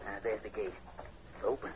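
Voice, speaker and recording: A man's voice: lowish voice, little pitch movement, moderate pace, close to an everyday voice. An actor playing a frightened, superstitious rustic man in old radio horror, folksy and agitated, with homespun grammar. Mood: spooked. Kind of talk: 1940s radio horror acting. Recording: muffled, noisy old radio recording.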